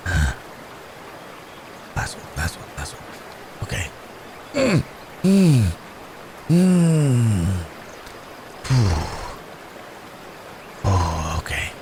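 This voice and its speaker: A man playing a wounded, courteous werewolf in an intimate ASMR roleplay, halting and strained with pain, with old-fashioned polite phrasing. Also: sits low, noisy background, full character voice. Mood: pained, straining. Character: wounded, weary, courteous male werewolf hunted by men.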